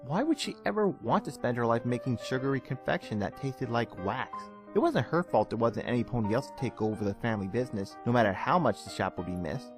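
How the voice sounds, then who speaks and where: Male narrator, mid-pitched, very animated, theatrical, dramatic storytelling, soft music behind.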